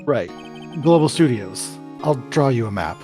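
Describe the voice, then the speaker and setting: A man's voice: medium pitch, lively intonation, unhurried, close to an everyday voice. A man voicing a mild, earnest TV action star in a video game, politely explaining himself. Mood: agreeable. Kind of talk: live fan voice-over of a video game. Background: music.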